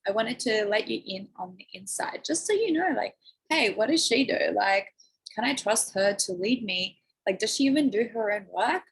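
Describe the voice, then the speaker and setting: A woman life coach chatting effusively on a livestream, her voice croaky and hoarse. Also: mid-range voice, expressive, moderate pace, rough recording. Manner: bubbly, effusive, lots of "like", coughing. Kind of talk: casual livestream chat. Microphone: phone or laptop livestream.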